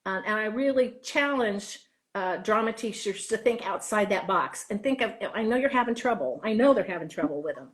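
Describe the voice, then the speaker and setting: A woman's voice: medium pitch, lively intonation, a little fast. A woman talking casually as an interview guest, chatty and given to acting out bits of dialogue. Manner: chatty, acts out quoted dialogue. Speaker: interview guest, author and drama teacher. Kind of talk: animated casual interview talk.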